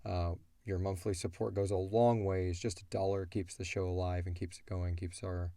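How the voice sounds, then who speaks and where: A man's voice: sits low, level tone, moderate pace, mellow, no echo, studio quality. A middle-aged man hosting a casual question-and-answer chat as the creator of a show. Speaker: audio drama creator and show host.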